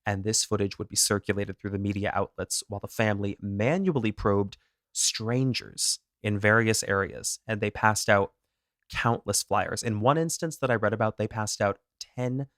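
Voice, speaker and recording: A man co-hosting a true crime podcast, walking through a case in a casual, animated storytelling way. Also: fairly low pitch, normal speed, no echo, studio quality. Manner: animated, self-deprecating, laughs at own pronunciation. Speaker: podcast co-host.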